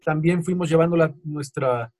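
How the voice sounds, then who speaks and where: A man with a Mexican accent hosts an interview in a notably high-pitched voice. He puts questions to the guest in a conversational way. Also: moderate pace, darkish tone. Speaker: interview host.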